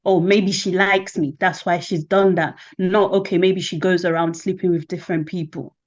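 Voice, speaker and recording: A woman's voice: on the low side, steady tone, normal speed, dull audio. A woman hosting a casual interview, leading with questions and checking in with "right?" and "okay?". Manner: prompting, asks with "right?" and "okay?". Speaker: host.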